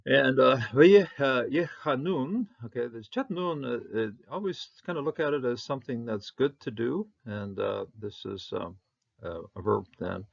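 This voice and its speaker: Gravelly, husky man teaching Hebrew. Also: mid-range voice, animated, highs cut off. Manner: halting, many fillers, repeats, bursts on Hebrew words. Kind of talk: unscripted verse-by-verse Hebrew lesson.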